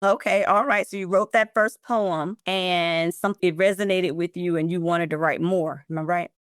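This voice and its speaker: A woman's voice: on the low side, steady tone, normal speed, dry recording, studio quality. A woman hosting an interview podcast, encouraging and affirming her guest. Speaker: podcast host.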